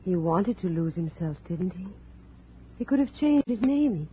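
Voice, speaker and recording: A woman's voice: on the low side, expressive, a little slow, narrow, tinny audio. A woman acting a young wife who clings desperately to hope, intense and insistent, in 1950s radio drama style. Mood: doubting, pleading. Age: young adult. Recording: thin, noisy vintage radio recording.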